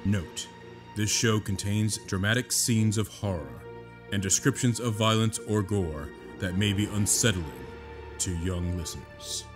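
A man's voice: fairly low pitch, a little slow. A man reading a scripted podcast introduction as an announcer. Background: music.

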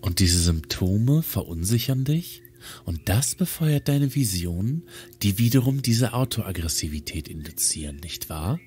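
A man playing a brisk, detached, coolly clinical psychiatrist in a German audio drama. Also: on the low side, animated, darkish tone.

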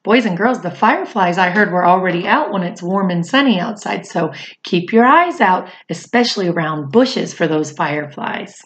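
A middle-aged woman reading a picture book aloud to children expressively, with chatty asides. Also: on the low side. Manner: expressive, drawn-out delivery addressed to young children. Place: small indoor room at home.

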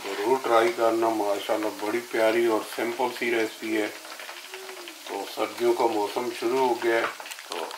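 A man's voice: fairly low pitch, steady tone, a little slow. A man hosting a home cooking video, narrating a recipe step by step in a plain way. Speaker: home cooking channel host. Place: home kitchen, indoors. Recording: roomy kitchen echo, faint noise, sizzling.

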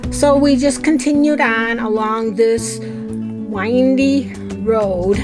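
Slow-talking middle-aged woman over music. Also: fairly high pitch. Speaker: travel vlogger. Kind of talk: casual travel vlog narration.

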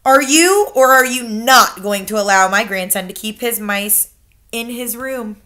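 A woman voicing a fierce, blunt elderly grandmother who scolds forcefully. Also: a little high, a little slow.

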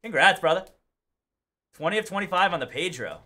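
A man's voice: high-pitched, big pitch swings, a little fast, pushed and bright. A young man hosting a live card break, calling out pulls with upbeat energy and joking banter. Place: indoor card break studio.

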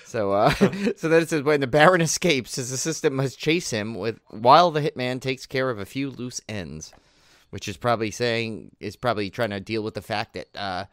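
Guy rambling on a horror podcast, lively voice, dry sound. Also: mid-range voice, moderate pace, dry recording. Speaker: podcast co-host. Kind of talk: casual chat reviewing horror releases.

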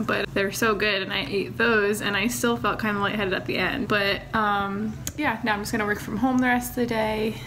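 A woman's voice: mid-range voice, a little hiss or hum, poor audio. A young woman in her twenties chatting casually to a phone camera held at arm's length in a kitchen, with lots of "like" and fillers. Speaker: vlogger. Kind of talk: casual vlog chat. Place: kitchen of a family home.

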